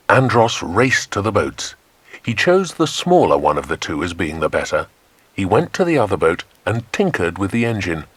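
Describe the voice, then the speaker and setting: A man's voice: sits low, a bit bright, slightly rough, dry recording. A British man narrating a dramatised children's adventure audiobook.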